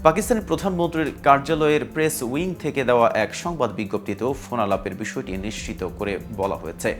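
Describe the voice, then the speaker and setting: A man with a Bangladeshi accent presenting scripted news in a formal, measured newsreader delivery. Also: medium pitch. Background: music bed.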